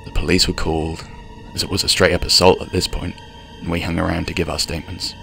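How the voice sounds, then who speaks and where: A man's voice: deep voice, level tone, fast talker, creaky, pushed and bright, faint music behind. A man reading a scripted first-person horror story as its storyteller.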